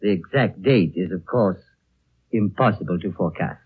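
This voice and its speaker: A muffled old radio recording with music behind it carries a courteous monastery host. His voice is low and a little husky. He speaks in formal, deliberate phrases, serene and politely evasive in the manner of 1930s radio drama.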